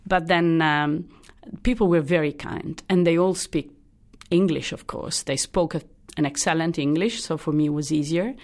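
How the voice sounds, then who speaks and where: Low-voiced Italian woman doctor. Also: fairly even intonation, a little mellow. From Italy. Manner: thoughtful, halting, many restarts and fillers. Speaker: hematologist guest. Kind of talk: interview, explaining her career.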